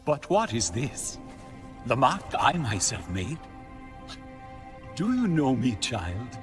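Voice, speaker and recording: An actor playing a wise, solemn alien ancestor in a game cutscene, speaking in formal, poetic phrases. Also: male voice, mid-range voice, sing-song, slow pace, fairly bright tone. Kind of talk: dramatic game cutscene acting. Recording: music behind the voice.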